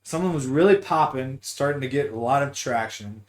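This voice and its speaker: Male podcast host, average pitch, loose gamer slang, swears freely, conversational. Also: animated, a little fast. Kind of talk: casual gaming talk show chat.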